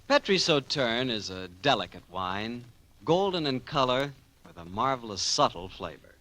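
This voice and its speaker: A man's voice: medium pitch, big pitch swings, moderate pace, sharp tone. A man announcing in 1940s radio style, with folksy, enthusiastic salesmanship. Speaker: announcer and sponsor spokesman.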